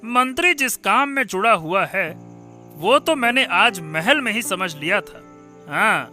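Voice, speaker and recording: A man's voice: high-pitched, very animated, unhurried, bright, forceful tone, full character voice. A man voicing a shrewd, knowing courtier who advises a king in a cartoon story. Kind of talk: animated Hindi cartoon story acting. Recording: music under the voice.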